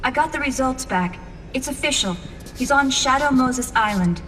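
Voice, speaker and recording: Brief: crisp young radio officer, a bit high, heard from off-mic over steady noise. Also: female voice, moderate pace, far from the mic. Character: young female communications officer, brisk and informative. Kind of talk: video game cutscene acting.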